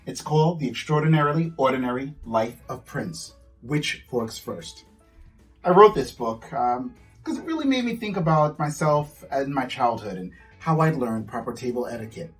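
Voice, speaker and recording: A man's voice: medium pitch, lively intonation, normal speed, distant mic, faint music behind, low-quality recording. A man, an author, reading his children's storybook aloud to young listeners.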